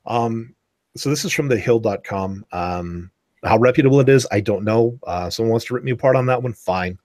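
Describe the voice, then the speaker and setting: A man's voice: medium pitch, a little fast, darkish tone, no room sound, studio quality. A man co-hosting a podcast in casual chat, rambling and correcting himself as he talks. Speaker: podcast co-host.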